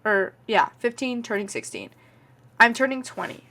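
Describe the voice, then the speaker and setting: A woman's voice: medium pitch, very animated, normal speed, gravelly, bright, forceful tone. Chatty nineteen-year-old Canadian woman. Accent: Canadian English. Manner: chatty, frequent "like" and "um" fillers. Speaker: vlogger and podcast host. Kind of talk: casual rambling monologue.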